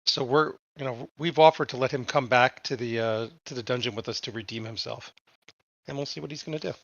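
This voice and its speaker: A man's voice: medium pitch, a little fast, creaky, dry recording, muffled recording. A man playing a tabletop game, talking casually and stammering over his words. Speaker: player.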